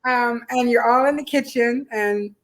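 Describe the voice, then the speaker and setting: A woman's voice: on the high side, measured pace, low-quality recording. A woman co-hosting a casual chat about an event, talking in a chatty, encouraging way. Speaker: co-host.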